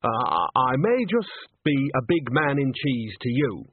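Storybook role: self-important, defensive merchant, voiced by a male reader, blustering, stumbling, slightly husky, narrow-band audio. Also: medium pitch, very animated, dry recording. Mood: pained, defensive. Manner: halting, blustering.

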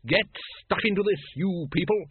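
Man voicing a high, bright, bossy aristocratic lady for an audiobook. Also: normal speed, slight background noise, natural voice. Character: hearty upper-class woman dragon breeder. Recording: tinny phone-like audio.

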